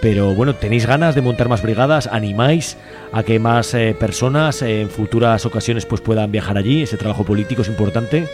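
A man's voice: on the low side, a little fast, music in the background. A man hosting a radio interview, asking long conversational questions. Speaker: radio host.

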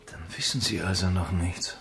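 A suave aristocratic gentleman in a radio play. His voice sits fairly low. He speaks with urbane, cynical detachment, like a worldly older friend advising a younger one. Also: moderate pace. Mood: grave. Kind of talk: German radio drama acting.

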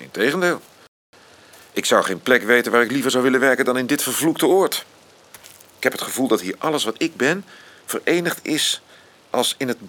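Radio play role: impassioned scientist and loyal friend, expressive, widely swinging intonation. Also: male voice, medium pitch. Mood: fervent. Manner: earnest, expressive. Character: Max, impassioned, intense astronomer, loyal friend. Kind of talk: Dutch radio drama acting.

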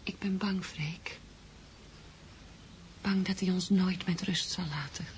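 A woman's voice: on the low side, fairly even intonation, takes it slowly, slight background noise. An actress playing an anxious, devoted young woman in love in a radio drama. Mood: frightened.

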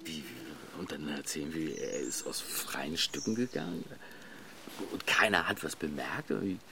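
A very low male voice plays a tired family father in a naturalistic radio drama. He is colloquial and sardonic, and tends to ramble. Clearly audible ambient noise sits behind him. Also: animated, moderate pace, bright, forceful tone, heavily acted. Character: weary family father, mocking, talkative brother.

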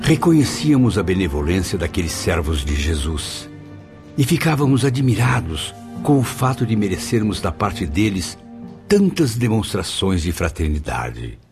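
Narrador brasileiro grave e expressivo. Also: male voice, deep voice, very animated, normal speed, over music. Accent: Brazilian. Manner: formal, literary. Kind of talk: scripted radio drama narration.

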